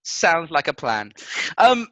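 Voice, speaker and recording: A man hosting a football podcast with casual, excitable, jokey banter and a halting delivery. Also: fairly high pitch, dry recording, lo-fi sound. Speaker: podcast host.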